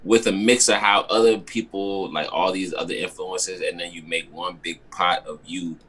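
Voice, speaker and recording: A man's voice: deep voice, fairly even intonation, normal speed, fairly bright tone, husky, low-quality recording. A young man from Maryland, guest artist on a video call, talking casually and loosely with lots of fillers and slang. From Maryland, with early childhood in Ghana.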